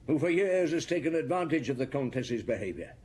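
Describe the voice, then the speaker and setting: Man playing a shrewd police inspector, animated delivery. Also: mid-range voice, slight background noise, lightly acted. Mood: accusing. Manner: deliberate, probing, theatrical pauses. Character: shrewd, methodical male police chief inspector confronting suspects. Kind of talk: radio drama acting.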